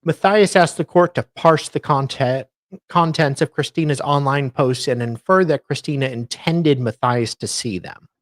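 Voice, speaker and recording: A man's voice: medium pitch, moderate pace, no room sound, studio quality. A man co-host reading formal text aloud, stumbling now and then over the words.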